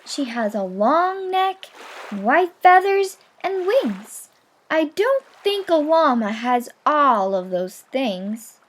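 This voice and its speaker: A woman's voice: high-pitched, very animated, takes it slowly, slight background noise, heavily acted. A woman voicing polite young picture-book animals who patiently and emphatically explain things.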